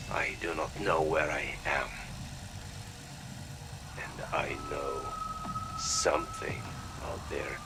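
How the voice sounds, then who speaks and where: A man playing a bitter, doomed labor-camp prisoner with a Russian accent, halting and sardonic in a game audio log. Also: heavily acted. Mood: fearful, resigned. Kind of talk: dramatic audio log acting. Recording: noisy audio log with engine-like rumble.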